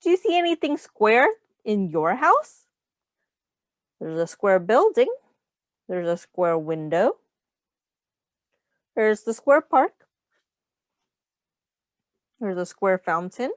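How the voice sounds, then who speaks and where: A woman reads a picture book to young children like a teacher, with a highly animated, swooping pitch. She takes her time, explaining in simple phrases and putting questions to the listener. The sound is close and dry. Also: slow pace, long pauses, dry recording.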